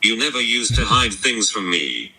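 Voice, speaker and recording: A man's voice: lowish voice, lively intonation, moderate pace, a loud crowd, rough recording. A synthetic, robotic male voice confronting someone on a call with blunt, clipped, insistent sentences.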